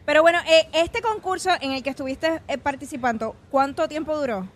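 Woman, Puerto Rican accent, radio co-host, high voice, chatty. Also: brisk, slight background noise. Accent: Puerto Rican Spanish. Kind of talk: radio interview.

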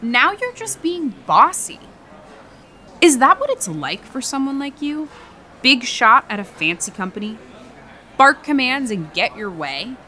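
A woman's voice: high-pitched, very animated, measured pace, sharp tone, faint music behind, exaggerated voice. An actress playing a brash, flippant young woman, a hired thief, in an audio drama with a sarcastic, casual manner. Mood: taunting. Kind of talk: naturalistic audio drama dialogue.

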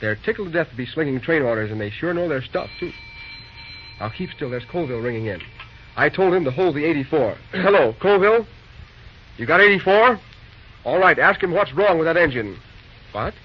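Actor as busy railroad night dispatcher: male, fairly high voice, brisk folksy slang, vintage radio play with noisy sound. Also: animated, lightly acted. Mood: friendly, then impatient, commanding. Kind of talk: old-time radio drama acting. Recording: muffled, noisy old radio recording.

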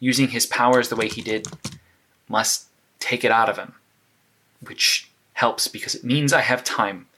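A man's voice: mid-range voice, fairly even intonation, unhurried, long pauses, sharp tone. An actor playing a rattled young male hero who stammers anxiously as he records a case log in an audio drama. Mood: focused. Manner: stammering, anxious self-talk. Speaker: superhero keeping a case log.

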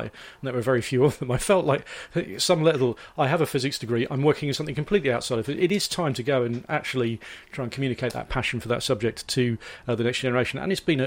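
Fast-talking enthusiastic man. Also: mid-range voice. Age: 50s. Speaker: podcast co-host and physics teacher. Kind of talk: casual chat. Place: garden summer house.

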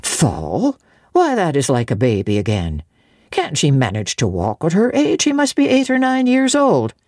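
A woman voicing a brisk, skeptical but good-natured male apothecary in a storybook reading, questioning and gruffly teasing. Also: low-pitched, wildly swooping pitch, a little mellow, dry recording, clean studio sound, heavily acted. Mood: scoffing.

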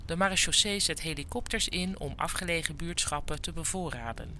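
An actor playing a formal radio newsreader, delivering a scripted bulletin that sounds like a noisy broadcast within a radio play. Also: male voice, on the high side, lively intonation, fairly quick. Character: radio newsreader reporting record snowfall.

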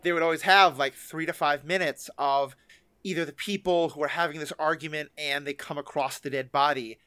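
A man's voice: fairly high pitch, fairly even intonation, fairly quick, pushed and bright, clear, resonant tone, no room sound. A man hosting a podcast interview in a chatty, enthusiastic, conversational way. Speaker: podcast host. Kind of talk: conversational interview about fiction.

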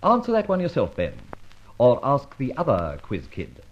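An actor playing a suave, quick-witted gentleman adventurer in an old-time radio drama, theatrical and teasing with a mock-grand manner. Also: medium pitch, wildly swooping pitch, highs cut off, slight background noise. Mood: breezy, mocking. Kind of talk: old-time radio drama acting and first-person narration.

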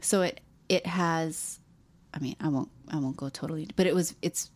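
Woman from hawaii chatting, lowish voice, lots of "like" and restarts, casual podcast co-host. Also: normal speed, darkish tone. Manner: reminiscing, with frequent restarts and "like". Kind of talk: casual chat.

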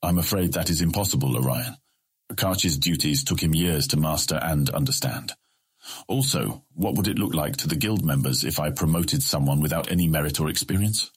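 An actor playing a shrewd, feared guildmaster of thieves in a fantasy audio drama, speaking with polite, diplomatic deference. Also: male voice, rumbling low, moderate pace, breathy edge. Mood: firm refusal.

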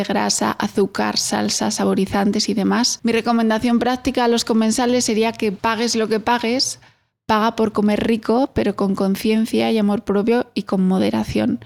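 A woman's voice: a little high, little pitch movement, moderate pace, gravelly. A woman giving explanatory commentary as a nutrition expert.

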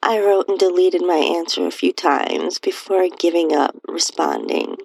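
A woman with a breathy, husky voice reading a first-person erotic story in a soft, intimate, near-whispered delivery. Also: on the low side, unhurried, no echo, rough recording. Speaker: podcast host narrating own stories.

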